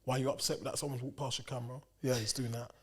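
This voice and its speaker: A young man, a personal trainer co-hosting a podcast, talking in a slangy, animated way and often repeating himself. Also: medium pitch, racing, husky. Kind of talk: casual chat.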